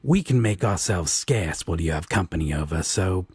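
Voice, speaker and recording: A man plays a caring devil roommate in an intimate audio roleplay. His voice is low and very breathy, with slow, teasing, reassuring delivery. The sound is close and dry. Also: expressive, a little mellow, dry recording, exaggerated voice. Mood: gently reassuring. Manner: drawling, affectionate, casual reassurance. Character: protective, laid-back male devil roommate, teasing and caring.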